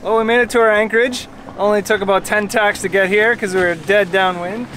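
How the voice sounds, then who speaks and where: A man's voice: high-pitched, steady tone, fairly quick, fairly bright tone, a little hiss or hum. Chatty man vlogging from a sailboat. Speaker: sailing vlogger. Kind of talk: casual vlog narration. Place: outdoors on a sailboat at sea.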